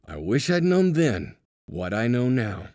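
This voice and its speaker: A man's voice: mid-range voice, big pitch swings, measured pace, darkish tone, no echo, clean studio sound. A man voicing a regretful, exclaiming guy past thirty in an audiobook. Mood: rueful. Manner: exclaiming, rueful.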